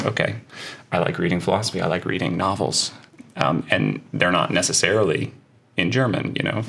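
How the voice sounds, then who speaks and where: Man, very deep voice, heavy vocal fry, husky, musing, interview guest. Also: fairly bright tone. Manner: thoughtful, musing, hedging. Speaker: guest, German teacher. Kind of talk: casual interview conversation.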